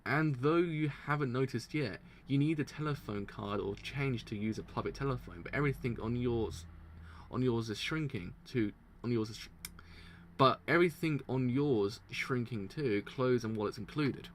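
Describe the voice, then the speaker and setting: A man reads comic pages aloud on a live stream at a desk mic, stumbling and restarting, then whooping and laughing when the story gets exciting. His throat sounds worn, and his voice sits at a middling pitch. Faint background noise runs under him. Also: moderate pace. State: strained throat. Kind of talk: live reading and reaction.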